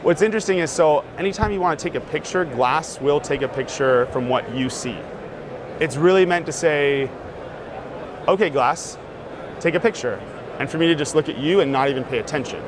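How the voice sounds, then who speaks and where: Relaxed man demoing a gadget outdoors. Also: a little high, a little fast. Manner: relaxed, explains with fillers. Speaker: interviewee demonstrating a gadget. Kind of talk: casual demo talk. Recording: noisy outdoor street sound.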